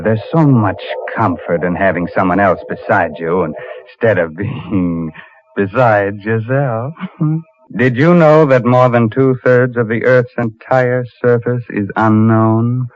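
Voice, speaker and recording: Low-voiced, sardonic horror host. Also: male voice, slow. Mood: ghoulishly playful. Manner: mock-welcoming, chuckling, macabre puns. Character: sardonic, ghoulishly genial male horror host. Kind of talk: 1940s radio horror drama hosting. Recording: dull old radio recording, loud music.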